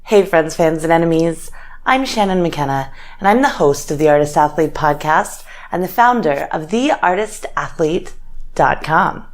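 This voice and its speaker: Upbeat podcast hostess, lowish voice. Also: female voice, expressive, moderate pace. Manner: upbeat, brief encouraging responses. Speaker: podcast host. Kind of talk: scripted intro, then casual interview.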